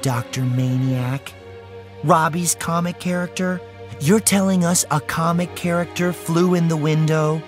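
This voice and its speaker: A man voicing a worried, disbelieving mother in an audiobook, measured, questioning and firm. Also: a little high, fairly even intonation, faint music behind, voice pushed up, natural voice. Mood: skeptical. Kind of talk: audiobook character acting.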